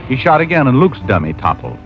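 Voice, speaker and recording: A man's voice: medium pitch, very animated, quick pace, close to an everyday voice. An actor playing a tough, wisecracking private detective who narrates his case in an old radio drama. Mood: hardboiled narrating. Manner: wisecracking, hardboiled. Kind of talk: 1950s radio drama acting. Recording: muffled old radio recording with music.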